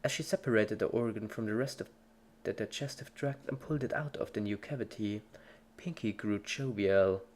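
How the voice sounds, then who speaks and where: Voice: medium pitch, normal speed, darkish tone, slight background noise. A narrator giving a scripted dramatic reading of a story in the third person. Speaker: fan fiction narrator.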